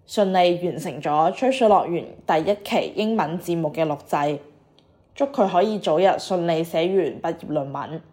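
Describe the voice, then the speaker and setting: A young woman in her early twenties hosts a podcast with a fairly low voice. She delivers a prepared introduction in an even, orderly way. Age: early 20s. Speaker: podcast host. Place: university dormitory room.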